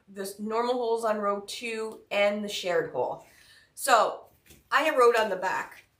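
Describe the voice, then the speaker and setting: A woman's voice: fairly high pitch, a bit bright, recorded from a distance. A woman with a head cold hosting a live craft tutorial, chatty and greeting viewers by name. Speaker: craft shop host. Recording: roomy sound, mic set back.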